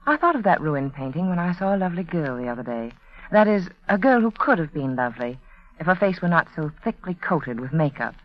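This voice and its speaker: A woman's voice: low-pitched, animated, a little fast. A woman reading a scripted 1940s radio advertisement as announcer, in a confiding, dramatic storytelling manner. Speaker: commercial announcer. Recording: dull, band-limited old radio recording.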